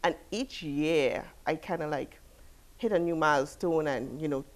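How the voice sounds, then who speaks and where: A woman from Trinidad and Tobago in her thirties, a studio interview guest talking in a relaxed way with lots of "you know" and "like". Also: low-pitched, measured pace. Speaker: guest, fitness expert. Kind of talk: casual interview talk. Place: TV studio, seated.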